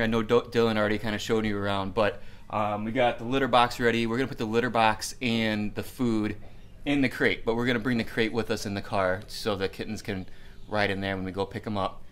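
A man's voice: on the low side, clean tone. A man in his thirties talking casually to a phone camera at arm's length, vlogging from a wooden barn. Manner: relaxed, chatty, filler-laden. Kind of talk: casual vlog narration. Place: inside a wooden barn shed. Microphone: phone held at arm's length.

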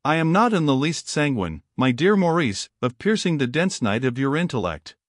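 A man reading the part of a haughty, learned male fallen angel in an audiobook, calm and impassive, in a dry, close sound. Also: a little high, moderate pace, no room sound.

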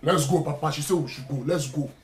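An actor playing an aggrieved young adult son with a Nigerian accent, scolding insistently in a domestic comedy-drama. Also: male voice, fairly high pitch, fast, crowd noise, lightly acted. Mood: subdued. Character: aggrieved, confrontational young adult son challenging his father.

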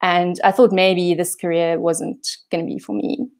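A woman's voice: on the low side, little pitch movement, normal speed, a little mellow. A woman in her thirties from South Africa, with a South African accent, giving a casual, self-deprecating talk over a video call. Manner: self-deprecating, chatty, many fillers. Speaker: guest speaker. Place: home room on holiday.

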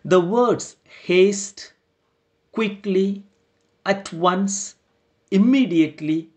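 Man, young priest, slow measured sermon, rhetorical questions. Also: high-pitched, a little mellow.

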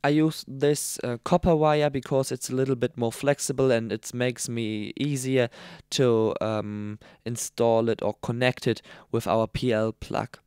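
A man with German as his first language explaining a hands-on build in a tutorial voice-over, halting with many ums and slightly non-native phrasing. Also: mid-range voice, fairly even intonation, a little mellow, clean tone, no echo, studio quality. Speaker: radio hobbyist demonstrating a build.

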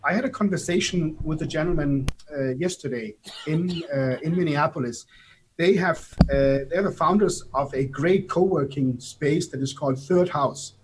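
A man's voice: fairly high pitch, normal speed, background noise. A man in his fifties, a native German speaker with a German accent, explaining things at length on a video call from an office, gesturing as he talks. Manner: explanatory, gestures while talking, some hesitant fillers. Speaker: guest. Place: office room. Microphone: video call webcam.

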